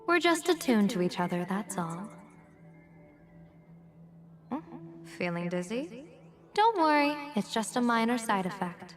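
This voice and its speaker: A woman's voice: fairly high pitch, very animated, unhurried, pauses often. A woman acting a poised, playful young general and fortune-teller in a video game, with a teasing, theatrical delivery. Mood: reassuring. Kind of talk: acted game dialogue. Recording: music behind the voice. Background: game music.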